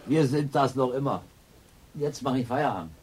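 An actor playing a cool, steady, reassuring partner in a radio crime drama. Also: male voice, mid-range voice, normal speed, breathy edge, natural voice. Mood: calm, reassuring. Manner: composed, reassuring. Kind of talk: German radio drama acting.

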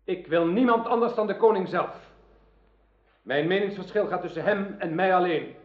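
High-voiced haughty rebel knight played by an actor. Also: male voice, normal speed, close to an everyday voice. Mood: defiant, haughty. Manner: declamatory, haughty. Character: defiant, proud rebel knight challenging his king. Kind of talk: radio play acting. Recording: echoey, dull radio play recording.

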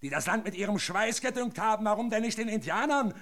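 An actor in a German radio drama playing a sly, greedy, aging foreman, rough-spoken, taunting and insistent. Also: high-pitched, expressive, fast talker, a bit bright. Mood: mocking, defiant.

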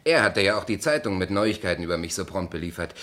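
Good-natured young gentleman, lowish voice, measured, dryly wry, radio play. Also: animated. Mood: explaining. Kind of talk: German radio play acting.

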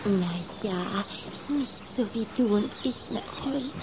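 A woman's voice: medium pitch, slow pace, noisy background, natural voice. A woman playing a cheerful, mischievous little vampire girl in a German radio play, giggly and teasing. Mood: eager, gossipy.

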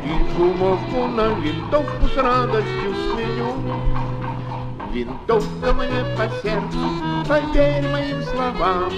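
A man's voice: high-pitched, exaggerated voice. A man playing a chatty, good-natured army field cook in a theatrical, folksy children's audio drama with song. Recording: 1970s flexi-disc. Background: loud music.

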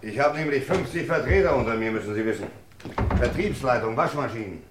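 Brusque, fast-talking sales boss. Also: male voice, medium pitch, expressive, breathy edge. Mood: grudging. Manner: curt, irritable, hurried. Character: brusque, harried male washing-machine sales boss. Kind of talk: German crime radio play acting.

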